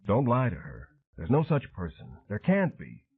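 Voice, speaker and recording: A man's voice: on the low side, huge pitch swings, normal speed, breathy edge, exaggerated voice. A man doing a blunt ex-Marine. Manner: blunt, forceful. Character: blunt skeptical male ex-Marine. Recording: tinny phone-like sound.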